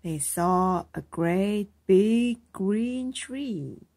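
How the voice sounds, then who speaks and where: A woman reading a children's storybook aloud as a mother would to her child, with expressive narration. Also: mid-range voice, very slow, dry recording.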